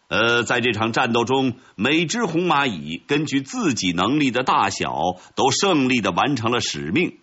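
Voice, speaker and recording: A man plays a haughty red ant general from a storybook, boastful and commanding. The voice is husky and swings widely in pitch as it declaims military orders, on a slightly dull recording. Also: fairly bright tone, heavily acted.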